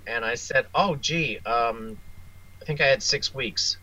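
A man speaking as an interview guest, a writer telling wry, self-deprecating anecdotes in a casual, animated way. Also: mid-range voice, a little slow, dull audio.

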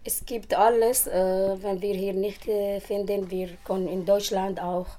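A woman from Syria whose first language is Arabic, answering interview questions in simple, halting German. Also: medium pitch, little pitch movement, dark tone.

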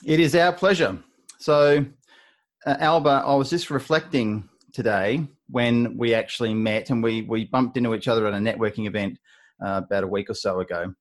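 A man's voice: mid-range voice, fairly even intonation, normal speed. A man hosting a business podcast, talking in a chatty, rambling way with many restarts as he introduces a guest. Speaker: podcast host. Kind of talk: podcast interview.

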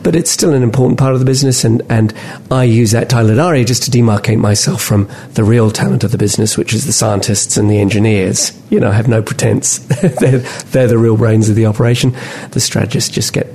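Low-voiced wry man from England. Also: gravelly, breathy edge. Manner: relaxed and wry, laughs easily, with frequent "uh" pauses. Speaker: podcast guest. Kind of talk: casual interview chat.

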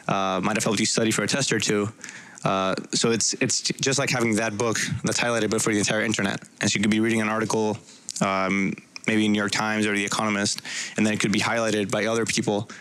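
A young man from Puerto Rico talks very quickly as an interview guest. His voice is fairly low and the recording is close and dry. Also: fairly bright tone, no room sound, rough recording. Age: young adult. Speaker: guest, startup co-founder. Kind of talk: conversational interview explanation.

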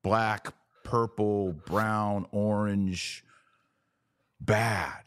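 A man's voice: fairly low pitch, steady tone, very slow, a bit bright, clear, resonant tone, no room sound, studio quality. A man hosting a podcast in casual, conversational commentary with a blunt, opinionated way of talking. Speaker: podcast host.

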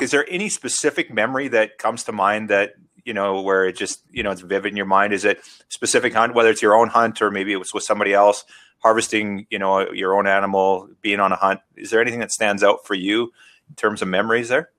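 A man's voice: fairly low pitch, steady tone, fast, sharp tone. A man hosting an interview, asking long, rambling questions padded with "you know". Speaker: podcast host interviewer.

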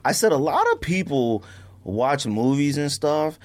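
A man with an American accent chatting casually as a podcast co-host, telling stories in an animated, slangy way with frequent chuckles. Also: on the high side, a little slow. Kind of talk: casual chat with anecdotes.

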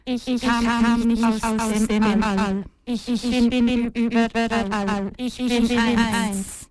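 A pensive machine mind from a radio play, acted by a woman, speaks in a high, very smooth voice. It moves extremely slowly and stammers over repeated words like a glitching computer, serving some greater power. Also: level tone, darkish tone. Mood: detached, halting. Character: female artificial intelligence, pensive servant of a heavenly power.

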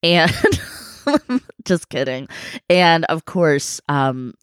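A woman's voice: sits low, lively intonation, extremely slow, smooth, dry recording, clean studio sound. A woman co-hosting a podcast, chatting casually and laughing often, still a little phlegmy from being sick. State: congested, phlegmy from illness. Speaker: podcast co-host. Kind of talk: casual chat.

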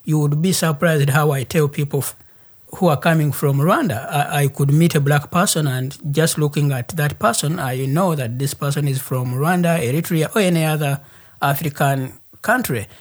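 A man with a Ugandan accent telling a personal story as an interview guest, in deliberate, halting phrases. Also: on the high side. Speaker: interview guest, human rights activist.

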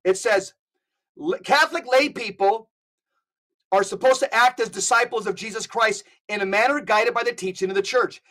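A man's voice: high-pitched, normal speed, bright, forceful tone. A man speaking as a podcast guest, giving impassioned religious talk in an emphatic, exhortatory way and reading out quotations.

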